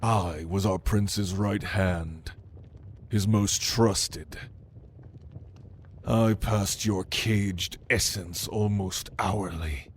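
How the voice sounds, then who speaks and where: A low, very slow demon voiced by a man in an ASMR roleplay, haughty and menacing. He addresses the listener with deliberate, dryly teasing intimidation, over clearly audible background ambience. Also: a little hiss or hum. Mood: menacing, hungry. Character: menacing male demon, prince's right hand, haughty and hungry.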